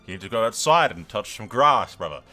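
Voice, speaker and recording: Bright-voiced man rambling through game commentary. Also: fairly low pitch, very animated, brisk, faint music behind. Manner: rambling, irreverent, heavy swearing. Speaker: gaming video host. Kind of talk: casual game playthrough commentary.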